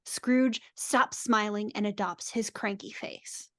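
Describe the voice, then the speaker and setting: A woman's voice: mid-range voice, fairly even intonation, takes it slowly, a bit bright. A woman narrating stage directions in a casual live script reading.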